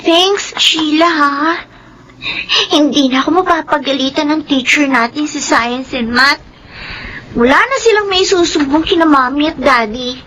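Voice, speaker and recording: A woman's voice: high-pitched, measured pace, bright, forceful tone, a little hiss or hum, low-quality recording. A woman playing an anxious, timid young schoolgirl in a Filipino radio drama, plaintive, hesitant and tearful. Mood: timid, sad.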